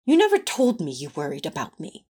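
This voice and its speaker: A woman's voice: low-pitched, wildly swooping pitch, a little fast, a little mellow, slightly rough, exaggerated voice. A woman playing an earnest, easily flustered male angel in an audio fan fiction drama, prim and protesting.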